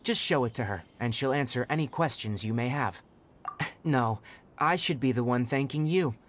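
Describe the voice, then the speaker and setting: An actor playing a composed, knowledgeable young male forest ranger in a video game, speaking in an articulate, measured and formal way. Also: mid-range voice, fairly bright tone, a little hiss or hum, close to an everyday voice. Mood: composed, explanatory. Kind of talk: scripted video game voice acting. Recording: background music under the voice. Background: game music.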